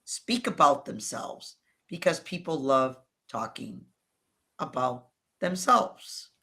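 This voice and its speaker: A woman with a New England accent giving advice and telling stories in conversation as an interview guest, with measured, emphatic phrasing. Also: sits low, sing-song, creaky, bright, forceful tone. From New England, United States. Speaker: interview guest, relationship coach.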